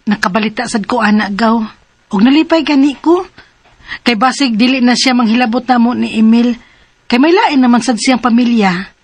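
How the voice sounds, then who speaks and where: A woman's voice: a little high, moderate pace, breathy edge. A woman acting a troubled separated mother who is pregnant and wants to remarry, in Cebuano-accented radio drama. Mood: casual, defensive. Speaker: radio drama lead and letter narrator. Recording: music playing behind the voice.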